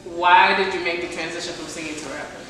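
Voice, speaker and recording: A woman's voice: lowish voice, steady tone, quick pace, fairly bright tone. A woman interviewer asking short, playful, teasing questions in a casual chat. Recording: echoey room, far from mic, clear noise.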